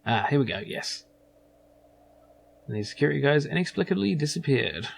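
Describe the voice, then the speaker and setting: A man's voice: fairly high pitch, sing-song, moderate pace, creaky, breathy edge. A man giving casual, rambling let's play commentary as a gaming channel host, musing hesitantly as he goes.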